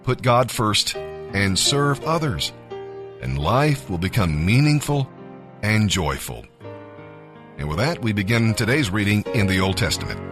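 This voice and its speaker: A man reading scripture as scripted devotional narration, with measured, deliberate phrasing. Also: lowish voice, very animated, music in the background. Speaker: Bible reader, narrator.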